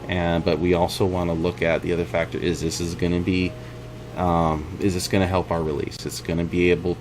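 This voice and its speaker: A man giving an informal update to viewers as an indie game developer. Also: low-pitched. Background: music behind the voice.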